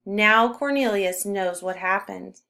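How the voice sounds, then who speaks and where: A woman reads a children's storybook aloud in a narrating style. Her pitch sits in the middle and she stresses key words expressively, with a fairly gravelly creak in the voice. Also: slow, bright, forceful tone. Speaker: storybook reader.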